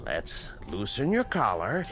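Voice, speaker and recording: An actor playing an earnest, proud scientist and inventor in a classic television drama, with measured, precise, educated diction. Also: male voice, fairly low pitch, huge pitch swings, music in the background. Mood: proud, explaining.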